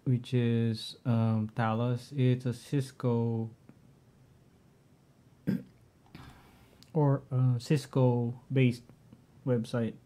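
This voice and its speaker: Slow, dark-voiced Filipino man. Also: mid-range voice, little pitch movement. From Philippines. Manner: halting, frequent throat clearing and fillers. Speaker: tech vlogger. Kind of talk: casual screen-share walkthrough.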